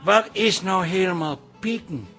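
An actor playing a down-to-earth, evasive but loyal working-class husband in a radio comedy, blunt and colloquial. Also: male voice, high-pitched, unhurried, over music. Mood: evasive, defensive. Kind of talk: Dutch radio comedy drama acting.

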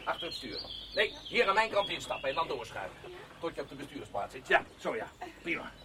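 An actor playing a brutal, menacing kidnapper in a radio play, barking curt commands. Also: male voice, a little high, very animated, a little fast, background noise. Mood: cold, commanding. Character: menacing, brutal male kidnapper forcing people at gunpoint.

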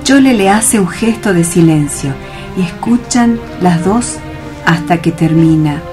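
A woman's voice: lowish voice, expressive, unhurried. A woman narrating stage directions for a radio play in a scripted reading style. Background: music.

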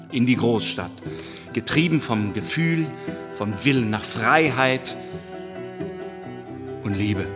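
An actor playing an eager, slick TV pitchman in a radio play, talking in halting salesman-like bursts with dramatic emphasis. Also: close to an everyday voice. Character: eager, slick male TV series pitchman, chatty and insistent. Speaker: screenwriter pitching a series. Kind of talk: theatrical radio play monologue. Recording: long reverb, clear music behind.

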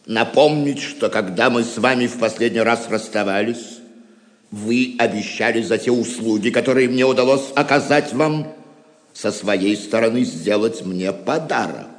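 A man's voice: mid-range voice, lightly acted. Guy playing a noble knight king, formal and courteous, radio play, big long echo. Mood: courteous, firm. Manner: formal, measured courtly speech. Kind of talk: audio drama acting. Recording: long hall reverb.

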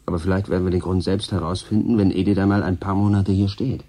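Low-voiced pragmatic station crewman. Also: level tone, fast talker, dark tone. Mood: pragmatic, frank. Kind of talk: German radio drama acting.

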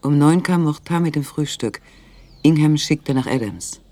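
A man narrating a literary radio play in a measured, sober manner. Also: a little high, darkish tone, no room sound.

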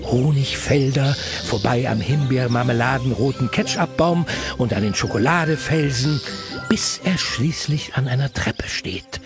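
A man's voice: mid-range voice, measured pace, music in the background, lightly acted. A man narrating a children's audio play as a playful storyteller who relishes long, silly words.

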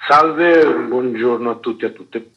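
A man's voice: mid-range voice, moderate pace. A man explaining things spontaneously as an activist interviewed on the radio. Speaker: interviewed activist and event organiser. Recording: dull lo-fi radio sound.

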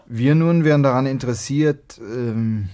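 An actor playing a pushy, scornful young husband in a radio drama, speaking in an impatient, sardonic, colloquial way. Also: male voice, medium pitch, measured pace, warm tone, close to an everyday voice. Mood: ingratiating. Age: young adult. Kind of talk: naturalistic German radio drama dialogue.

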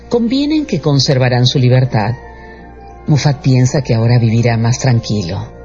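A man's voice: mid-range voice, a little mellow. A man narrating a radio dramatization in a scripted storytelling style. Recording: slightly dull sound with music underneath.

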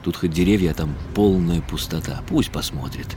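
A man reading a first-person literary story as a radio play, in a measured, wry and bitter tone. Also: deep voice, noisy background.

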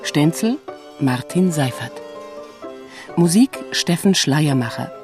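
Woman radio announcer reading drama credits, deep voice, slow, music behind. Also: animated. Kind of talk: scripted radio play credits.

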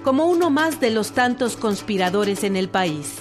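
A woman's voice: mid-range voice, animated, moderate pace. A woman delivering scripted documentary narration in a radio drama about history. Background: music.

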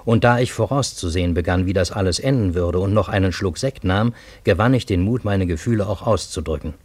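A lowish, measured voice narrates a radio play in the first person. The storyteller is ironic and bewildered, with literary phrasing and a close, dry sound. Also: male voice, darkish tone, no room sound. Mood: wondering, ironic. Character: bewildered, ironic male storyteller recounting fantastic events.

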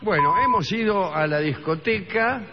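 A man's voice: high-pitched, moderate pace, heavy background noise. A middle-aged man with an Argentine Rioplatense accent, hosting a radio show and telling a comic story in a wry tone, slipping into other voices for the characters. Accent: Rioplatense Spanish. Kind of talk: humorous radio monologue with banter. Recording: dull radio broadcast with long reverb.

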